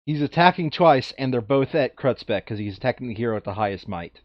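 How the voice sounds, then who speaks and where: A man's voice: mid-range voice, lively intonation, quick pace, no echo. A man chatting casually and jokily as he plays a tabletop game. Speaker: board game player. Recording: dull lo-fi sound.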